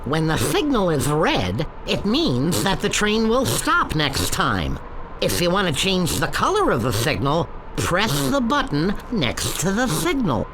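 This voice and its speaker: A man voicing a zany, excitable cartoon duck who hosts a game show with a showy announcer's delivery in a video game. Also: fairly high pitch, a little slow, noisy background. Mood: instructive.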